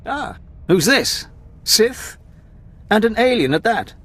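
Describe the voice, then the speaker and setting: An Imperial major in a video game. He is affable and decisive, with a fairly high voice that moves freely up and down as he muses. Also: slow pace, exaggerated voice. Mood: affable, musing. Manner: animated, musing. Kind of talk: video game cutscene acting.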